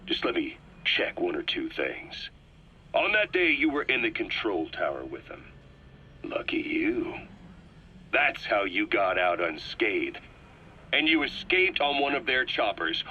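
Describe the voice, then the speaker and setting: An actor playing a cold, calculating military interrogator in a video game cutscene, speaking with raspy, deliberate menace as he accuses. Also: mid-range voice, sing-song, highs cut off, a little hiss or hum. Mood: quiet menace.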